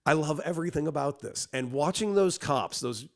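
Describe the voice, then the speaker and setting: A man's voice: on the high side, expressive, brisk, vocal fry. A man co-hosting a podcast in casual banter, ranting animatedly and swearing freely. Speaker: co-host.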